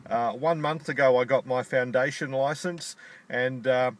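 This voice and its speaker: A man's voice: mid-range voice, fairly even intonation, a little slow. A man talking as an amateur radio operator, spelling things out in phonetics, speaking from inside a parked car. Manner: spells out call signs in phonetic alphabet. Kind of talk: ham radio contact chat.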